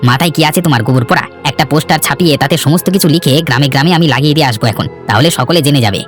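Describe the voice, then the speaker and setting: A man's voice: fairly high pitch, very fast, close to an everyday voice. A man voicing an earnest, plain-spoken young villager in a fairy-tale cartoon, with a slightly chiding manner. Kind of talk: Bengali cartoon voice acting. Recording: background music under the voice.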